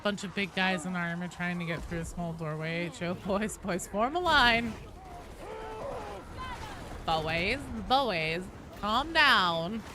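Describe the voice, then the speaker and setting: A woman's voice: lowish voice, normal speed, hardly any pauses, background noise. A woman live streaming a video game, chatting casually and answering viewers in a joking, sweary way. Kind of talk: casual gaming chat, reading and answering viewer comments.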